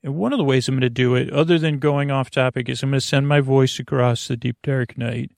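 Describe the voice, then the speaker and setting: A man hosting a sleep podcast, rambling off-topic in soothing, creaky tones with many restarts. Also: mid-range voice, fairly even intonation, brisk, a little mellow, dry recording, studio quality. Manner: meandering, off-topic, self-interrupting. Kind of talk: rambling bedtime podcast intro.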